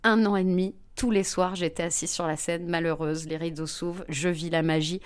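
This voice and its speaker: A woman comedian, a guest in an interview, telling lively personal anecdotes with frequent fillers. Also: lowish voice, a little fast. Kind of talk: anecdotal storytelling in conversation.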